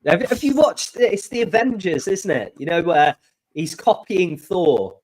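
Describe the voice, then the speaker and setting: A man hosting a live stream with a strangely high, squeaky voice, as if from a glitch. He sounds stuffed up from a blocked nose. He rambles cheerfully through his announcements. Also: lively intonation, measured pace, a little mellow, low-quality recording. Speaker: stream co-host. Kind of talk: casual live stream chat. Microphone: desk microphone.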